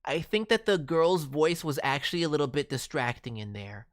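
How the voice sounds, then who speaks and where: A performer voicing a cheeky, excitable non-human cartoon mascot who hosts a casual stream with boastful, playful word-mangling. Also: medium pitch, moderate pace, soft background music, lightly acted. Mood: earnest advice. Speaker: host reviewing voice demo reels. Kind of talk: casual live stream commentary.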